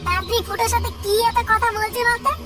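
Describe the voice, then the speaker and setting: A performer voicing a cheeky, whiny young village boy, a comic cartoon sidekick, in a squeaky, excitable way. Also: fast talker. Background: loud music.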